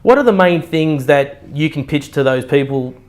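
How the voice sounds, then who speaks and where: A man's voice: a little high, lively intonation, moderate pace, a little mellow. A man hosting a friendly interview, speaking in a polite, chatty way. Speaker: interviewer and host.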